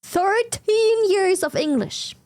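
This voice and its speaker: German-accented woman streamer, high voice, blunt and giggly, casual chat on a dry mic. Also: lively intonation, slow, a little mellow, dry recording. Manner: laughs often, swears casually, blunt.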